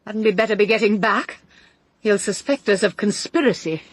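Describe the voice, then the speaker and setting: An actress playing a brittle, sharp-tongued woman suspect in a radio drama, with a British accent and an emphatic, cutting delivery. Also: medium pitch, moderate pace, slightly rough, no echo, lightly acted. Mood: alert. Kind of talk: full-cast radio drama dialogue.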